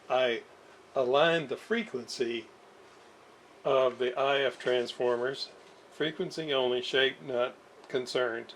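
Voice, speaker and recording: Male repair-video host, unhurried and halting, mid-pitched, self-deprecating, off the cuff, with noise behind him. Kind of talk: casual unscripted workbench commentary. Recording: clear background noise.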